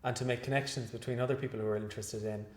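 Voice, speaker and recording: A male researcher giving an interview after a lecture, speaking in a measured, hesitant way with many fillers and restarts. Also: medium pitch, fairly even intonation. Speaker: guest researcher. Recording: echoey room.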